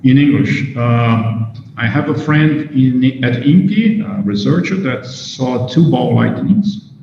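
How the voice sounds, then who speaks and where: A man's voice: mid-range voice, lively intonation, takes it slowly, creaky, a little mellow. A Brazilian man, a native Portuguese speaker, lecturing informally as a researcher with a hesitant, stop-and-start delivery. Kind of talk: informal lecture with questions and answers, switching between Portuguese and English. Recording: echoey hall, dull sound, faint noise.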